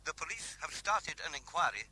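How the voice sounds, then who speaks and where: Worried British husband, husky voice, lively intonation, insistent, probing, radio drama. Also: male voice, a little high, fairly quick, exaggerated voice, low-quality recording. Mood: subdued, probing. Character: anxious, insistent husband suspicious of his friend.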